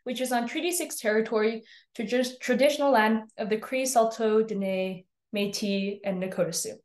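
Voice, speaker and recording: Higher-pitched young woman, echoey room. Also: fairly even intonation, normal speed, a little mellow. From Edmonton, Canada. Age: young adult. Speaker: student panelist. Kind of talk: casual self-introduction on a video call. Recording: echoey room on video call.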